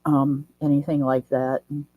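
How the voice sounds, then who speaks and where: Host: woman, deep and mellow voice, slightly stuffy nose, dry close sound, chatty and folksy. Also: level tone, normal speed, no echo, phone-quality sound. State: nasal from a head cold. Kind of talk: casual livestream chat.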